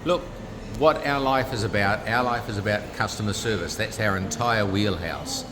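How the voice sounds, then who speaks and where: A middle-aged man with a New Zealand accent, a business founder making an upbeat, punchy pitch in a casual interview. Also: moderate pace, noisy background. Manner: upbeat, punchy salesman delivery. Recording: background music, trade fair.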